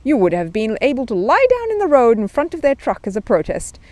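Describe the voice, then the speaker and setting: A woman's voice: fairly high pitch, wildly swooping pitch, fairly quick, darkish tone, no echo, pitched above the performer's own voice. A woman voicing grumbling, opinionated London market traders in a children's storybook, emphatic and colloquial. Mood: emphatic, advising.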